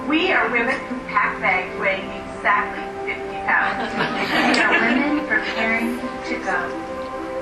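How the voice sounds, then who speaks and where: A woman giving a slow, earnest scripted voice-over in a promotional video. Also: a little high. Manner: slow, earnest, repeated phrasing. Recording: video played in a hall, far off, with music.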